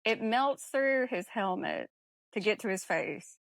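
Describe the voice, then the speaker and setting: A woman's voice: fairly high pitch, animated, measured pace, no echo. A woman co-hosting a podcast, talking casually about film in a blunt way with some mild swearing. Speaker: podcast co-host.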